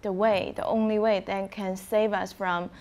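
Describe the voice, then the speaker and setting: A woman's voice: mid-range voice, level tone, normal speed, a little mellow. Reflective woman from China. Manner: reflective, many "like"s, laughs between thoughts. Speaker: playwright. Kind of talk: panel introduction.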